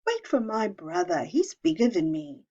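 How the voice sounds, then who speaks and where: A woman voicing a timid little male billy goat in a storybook reading, high and pleading, on a dull lo-fi recording. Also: huge pitch swings, normal speed, mellow, slightly rough, full character voice. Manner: small, pleading and squeaky.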